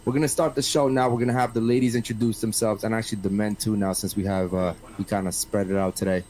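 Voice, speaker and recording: A man's voice: on the low side, little pitch movement, fast, a little mellow, a little hiss or hum, poor audio. A man hosting a podcast, chatting casually and peppering his talk with slangy asides like a buddy. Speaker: podcast host. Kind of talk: casual live-stream talk show chat.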